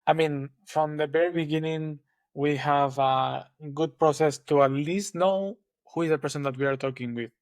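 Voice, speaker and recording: A man with a Spanish accent, a guest on an interview podcast, thinking aloud in a relaxed way with many restarts. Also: a little high, normal speed, no room sound. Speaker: guest engineering manager. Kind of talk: casual interview chat.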